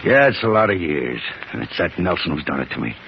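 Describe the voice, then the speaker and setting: An actor playing a hard, vengeful gangster boss in a radio drama, tough, slangy and domineering. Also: male voice, very deep voice, sing-song, fast, creaky, exaggerated voice. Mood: bitter, menacing. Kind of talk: 1950s radio drama acting. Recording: dull, noisy vintage 1950s radio recording.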